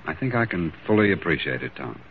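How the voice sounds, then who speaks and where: An actor playing a concerned but guarded doctor, polite, measured and probing, in old-time radio drama style. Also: male voice, sits low, big pitch swings, creaky, heavily acted. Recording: tinny, very noisy old radio broadcast.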